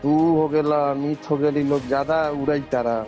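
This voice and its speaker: A man with a Bihari accent and a mid-pitched voice argues on a recorded phone call. He warns the listener in a menacing way, in local dialect, with clear music over the call. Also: fairly even intonation, moderate pace. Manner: menacing, warning. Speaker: phone caller.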